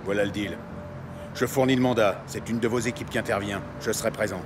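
An actor playing a hard-bargaining, territorial New York cop in a French audio drama, blunt and wary. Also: male voice, on the low side, steady tone, brisk, background noise, lightly acted. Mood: commanding, irritated. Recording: loud music and street ambience behind.

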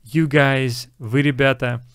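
A man's voice: mid-range voice, steady tone, takes it slowly. A young man, an English teacher, casually explaining film lines and repeating English phrases.

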